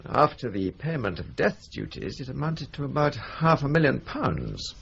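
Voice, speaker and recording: Pedantic senior solicitor, gravelly, formal, dull old radio sound, faint hiss. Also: male voice, medium pitch, huge pitch swings, moderate pace, a bit bright, heavily acted. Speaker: trustee solicitor. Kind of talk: radio drama acting.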